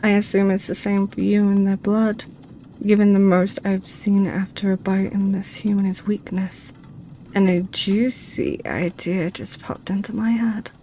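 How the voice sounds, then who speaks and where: A woman's voice: medium pitch, flat delivery, measured pace, dark tone, slight background noise, close to an everyday voice, low-quality recording. A woman playing a seductive, possessive succubus in an ASMR roleplay, with a teasing, sly way of talking. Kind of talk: ASMR roleplay audio drama.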